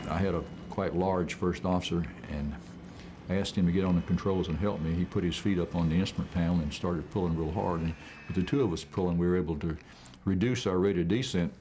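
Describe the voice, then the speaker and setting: Low-voiced male pilot recounting an experience. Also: noisy background. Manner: measured, matter-of-fact. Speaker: airline pilot interviewee.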